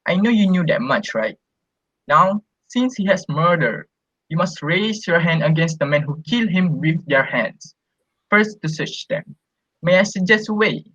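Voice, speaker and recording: A deferential counsellor to an ancient Greek king acted by a young male student speaks in a husky voice, as in amateur radio drama. The recording is dry and dull. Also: fairly high pitch, expressive, unhurried, a bit bright, no room sound. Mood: earnest, advising. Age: young adult. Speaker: student actor.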